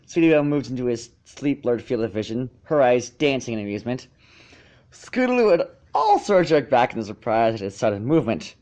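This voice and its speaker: A man reads a story aloud in a relaxed, mellow tone. His pitch swings expressively, and his amateur narration has stumbles and repeated phrases. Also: a little fast, dull audio.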